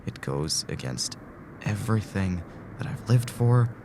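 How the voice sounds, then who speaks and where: A man's voice: low-pitched, sing-song, measured pace, background noise. A man playing a weary, earnest, anguished man trapped in an ordeal, in an emotional audio drama style. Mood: quiet despair. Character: anguished, principled man trapped in time loop.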